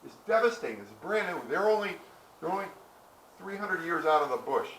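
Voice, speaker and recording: A man's voice: high voice, very animated, a little fast, fairly bright tone. A middle-aged man giving a casual, rambling talk to a small audience, full of restarts and asides. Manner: rambling, folksy, many restarts. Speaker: presenter. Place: outdoor historic site with listeners. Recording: roomy, distant mic with background noise.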